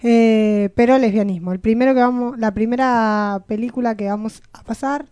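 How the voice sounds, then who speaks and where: A woman's voice: on the high side, normal speed, warm tone, dry recording. A woman with a Rioplatense Argentine accent co-hosting a show, chatting casually and giving announcements. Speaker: radio show co-host. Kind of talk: casual chat with event announcements.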